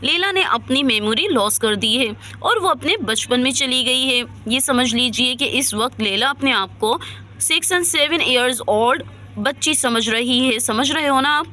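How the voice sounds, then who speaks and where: A woman's voice: high-pitched, lively intonation, measured pace, bright, forceful tone, exaggerated voice. A woman voicing a concerned, authoritative female doctor in a dramatised story, explaining firmly and reassuringly. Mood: serious. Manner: instructive, emphatic, reassuring.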